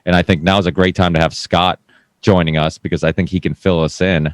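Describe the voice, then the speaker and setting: A man's voice: low voice, level tone, a little fast, clean tone, no room sound. A man hosting a live panel talk show in a casual, informal and meandering way.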